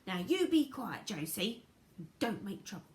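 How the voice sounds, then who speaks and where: A woman's voice: mid-range voice, big pitch swings, moderate pace, darkish tone, slight background noise, lightly acted. A woman voicing a firm, loyal old grandfather from a storybook, speaking in an admonishing way. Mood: firm, scolding.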